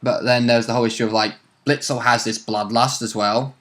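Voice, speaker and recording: A man's voice: medium pitch, fairly even intonation, a little fast, a bit bright. A man chatting casually as a player and co-commentator, informal and rambling in his way of talking. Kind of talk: casual chat.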